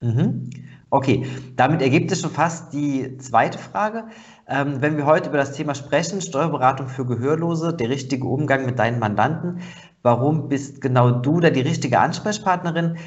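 A man's voice: mid-range voice, animated, moderate pace, muffled recording. A man hosting a podcast, asking chatty conversational questions with fillers. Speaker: podcast host. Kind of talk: podcast interview.

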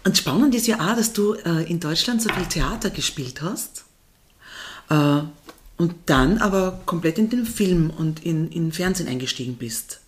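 A woman interviewing a guest casually, attentive and quick to affirm. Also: on the low side, animated. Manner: attentive, many affirming murmurs.

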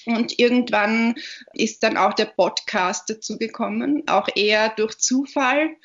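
A woman's voice: on the high side, measured pace. A woman with an Austrian accent, answering interview questions in a thoughtful, word-searching way. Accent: Austrian German. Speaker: podcast guest, literary platform founder. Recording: dull, muffled podcast recording.

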